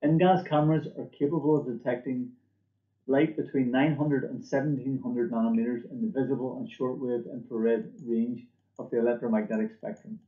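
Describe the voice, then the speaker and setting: A man giving a scripted product presentation for a company, in a measured, formal manner, recorded at a desk in a small room. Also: mid-range voice, fairly even intonation, roomy sound, narrow, tinny audio. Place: small indoor office or lab room.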